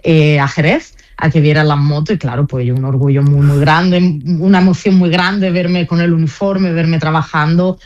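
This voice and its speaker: A woman's voice: sits low, fairly even intonation, normal speed, hardly any pauses, a little mellow, muffled recording. A young woman with a slight Andalusian Spanish accent tinged with Italian, speaking as a team press officer in a radio interview, chatty and full of fillers and restarts. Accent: Andalusian Spanish mixed with Italian. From Spain.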